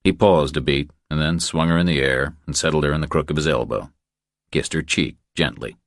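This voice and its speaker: Audiobook narrator: man, very deep register, dry studio-close sound, scripted thriller read. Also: brisk, no echo.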